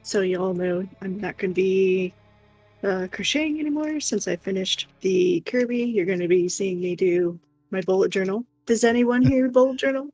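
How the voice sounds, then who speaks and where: A woman with a mid-pitched voice chats playfully on a crafting livestream. Her sound is dry and close, with music playing softly behind her. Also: lively intonation, normal speed, no room sound, low-quality recording. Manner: chatty, playful. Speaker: co-streamer doing crafts. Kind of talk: casual livestream chat.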